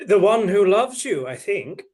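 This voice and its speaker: A man's voice: high voice, sing-song, a little slow, darkish tone, full character voice. A man playing a bold, teasing, roguish outlaw leader in a radio play, with sardonic courtly manners. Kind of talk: theatrical radio play acting.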